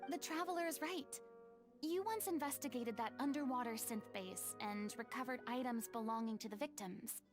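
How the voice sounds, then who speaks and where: A woman's voice: on the high side, moderate pace, over music, close to an everyday voice. An actress voicing a theatrical, commanding young woman in a video game, speaking with dramatic expression and authority. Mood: decisive. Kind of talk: scripted video game voice acting.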